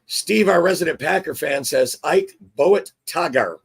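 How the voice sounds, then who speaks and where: A hoarse man hosting a casual sports talk show, chatty and excitable. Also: on the high side, unhurried. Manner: chatty, excitable, restarts often. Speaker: show host.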